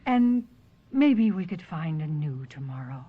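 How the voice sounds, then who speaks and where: An actress playing a long-suffering, tender wife in an old-time radio drama, earnest and emotional. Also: female voice, sits low, very animated, unhurried, faint music behind. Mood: soothing worry. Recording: muffled vintage radio drama recording.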